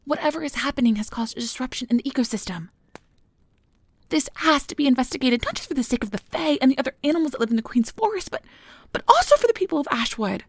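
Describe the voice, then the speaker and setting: A woman playing a nervous, earnest, principled field researcher of magical creatures in an ASMR audio drama, soft and hesitant with stammers, close to the mic. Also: sits high, lively intonation, fast talker, dry recording. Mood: upset, impassioned. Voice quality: breathy, soft. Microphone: close mic, dry recording.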